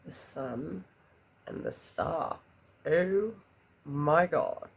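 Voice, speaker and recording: Slow, gravelly woman reading tarot. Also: sits low, expressive, slight background noise. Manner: chatty, murmurs card questions under her breath. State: congested after an illness. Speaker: tarot reader. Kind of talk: pick-a-card tarot reading.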